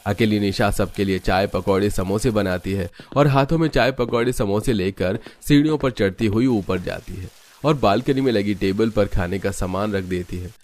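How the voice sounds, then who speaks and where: A man's voice: on the low side, moderate pace, a little mellow. A man reading scripted narration for a cartoon family story.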